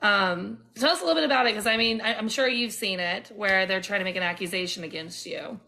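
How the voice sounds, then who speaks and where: A woman's voice: medium pitch, quick pace, gravelly, bright, forceful tone, poor audio. A woman hosting a casual interview, chatty and sympathetic toward her guest. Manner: sympathetic, chatty, laughs along. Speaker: podcast host.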